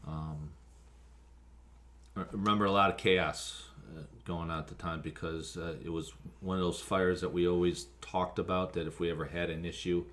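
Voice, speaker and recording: Man, very low voice, flat intonation, American accent, halting interview account, fire officer, slight background noise. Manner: halting, with frequent ums and pauses. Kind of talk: spoken account of an emergency call, interview.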